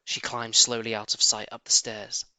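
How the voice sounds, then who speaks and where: A man reading a children's story aloud as an animated audiobook narrator. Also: lowish voice, moderate pace, clear, resonant tone, no echo. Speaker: audiobook reader.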